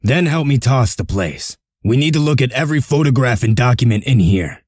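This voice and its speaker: A man's voice: mid-range voice, animated, fairly quick, a little mellow, no echo, clean studio sound, natural voice. A man voicing a stern, shrewd leader of a covert break-in in a comic dub, giving curt, authoritative orders. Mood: commanding.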